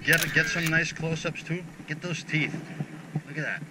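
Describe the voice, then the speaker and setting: Excitable man fishing on a windy shore. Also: a little high, moderate pace. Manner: exclamatory, swears, directs the photographer. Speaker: fishing vlogger. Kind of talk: excited outdoor narration. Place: rocky seaside shore outdoors. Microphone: action camera on the body. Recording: loud wind and sea noise.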